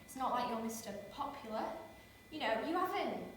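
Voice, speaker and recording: A woman's voice: fairly high pitch, animated, brisk, a little hiss or hum, natural voice. A woman playing an insecure, anxious woman who talks too much, rambling and cutting herself off in a stage monologue. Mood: defensive. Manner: rambling, self-interrupting, defensive outbursts. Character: anxious overtalking woman Leah, insecure and defensive. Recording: echoey room from far off.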